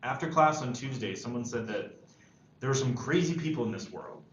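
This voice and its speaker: A man playing an earnest, hesitant high school senior boy in a screenplay table read. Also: expressive, a little fast, a bit bright, close to an everyday voice. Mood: candid, hesitant. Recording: echoey, dull, faintly noisy room recording.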